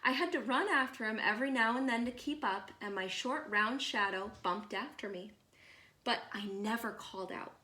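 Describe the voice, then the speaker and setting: A woman reading a children's picture book aloud as a storyteller, expressive and measured, with deliberate pauses. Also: on the high side, echoey room.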